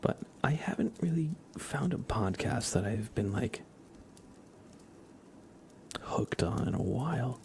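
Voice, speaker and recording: A man hosting an ASMR livestream, chatting softly and casually in a hushed, unhurried way that often slips into whispering. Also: medium pitch, expressive, creaky. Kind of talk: soft ASMR livestream chat.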